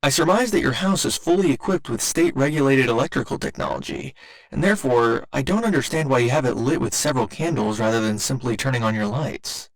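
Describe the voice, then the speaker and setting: A man's voice: medium pitch, sing-song, brisk, creaky, poor audio. A man voicing a polite, earnest robot boyfriend in an ASMR roleplay, speaking in formal, precise and naively literal language. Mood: curious, polite. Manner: formal, precise, careful wording with occasional glitchy stutters.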